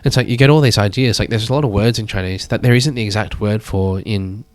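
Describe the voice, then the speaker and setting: A man in his thirties, a guest speaking thoughtfully in a measured, drawn-out way. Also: lowish voice, a little mellow, no echo. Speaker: guest artist. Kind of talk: reflective conversation.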